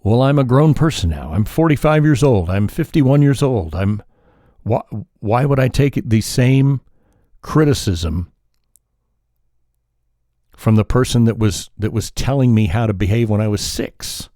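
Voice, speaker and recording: A man hosting a solo podcast, talking in a calm, measured, reflective way, sometimes pausing or correcting himself mid-sentence. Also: medium pitch, no room sound, studio quality. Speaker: podcast host.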